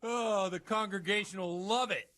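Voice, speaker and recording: An actor playing a worldly, roguish village priest with a theatrical streak in a medieval video game. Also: male voice, high-pitched, moderate pace, pushed and bright. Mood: merry, defensive. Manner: conversational, theatrical when preaching. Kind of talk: dramatic video game dialogue.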